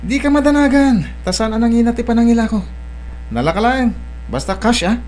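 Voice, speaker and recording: A man's voice: very high-pitched, lively intonation, fairly quick, darkish tone, faint music behind. A man with an Ilocano accent acting a brash, stubborn village farmer in a radio-style drama. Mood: pressing.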